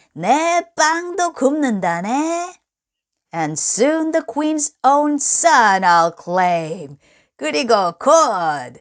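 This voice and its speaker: A woman acts out a gleeful little fairy-tale man with a raised, swooping voice. He draws his words out slowly, boasting and taunting in singsong, as in a storybook read aloud. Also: high voice, a bit bright, voice pushed up, heavily acted.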